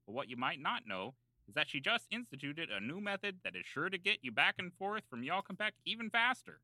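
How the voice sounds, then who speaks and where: A man with a very bright, forceful voice works as a podcast co-host. His delivery swings up and down with hammy, salesman-like emphasis, and the recording is close and dry. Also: a little high, sing-song, brisk, no echo, low-quality recording. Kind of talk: comedy ad read and casual chat.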